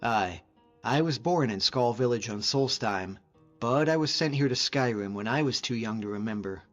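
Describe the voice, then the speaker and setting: A man playing a friendly, modest lone-wolf hunter as a video game companion, speaking in an earnest, measured way. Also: mid-range voice, expressive, dull audio, faint music behind, lightly acted. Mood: reflective, candid.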